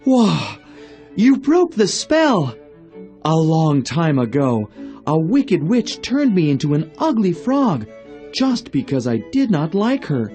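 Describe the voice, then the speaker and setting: A man's voice: on the high side, huge pitch swings, slow, mellow, faint music behind, full character voice. A man playing a young, noble fairy-tale prince in a storybook, earnest and courtly. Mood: amazed. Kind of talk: storybook voice acting.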